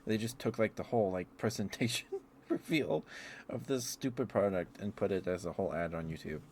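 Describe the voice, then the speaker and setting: Male ASMR streamer, low-pitched, casual and rambling, with noticeable background noise. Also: brisk, darkish tone. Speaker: livestreamer.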